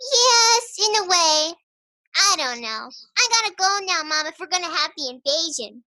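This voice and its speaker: A woman playing an excited, impatient, secretive little girl in an audio drama. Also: very high voice, moderate pace, heavily acted. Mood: earnest, secretive.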